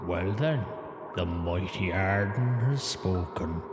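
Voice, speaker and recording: A man's voice: low-pitched, takes it slowly. An actor playing a crude, mocking henchman with a wry, taunting manner in an audio drama. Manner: taunting, wry, foul-mouthed. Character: sardonic, crude, mocking male henchman of a sorcerer. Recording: echoey cave reverb, music underneath.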